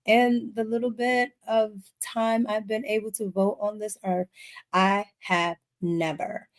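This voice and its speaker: A woman's voice: medium pitch, level tone, unhurried. Wry young woman in casual chat.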